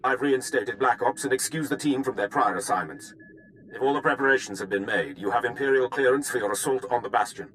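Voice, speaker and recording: An actor voicing a dutiful military general in a video game, giving a briefing in a formal, measured, deferential way. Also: male voice, mid-range voice, fairly bright tone, a little hiss or hum, close to an everyday voice, poor audio. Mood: formal, businesslike.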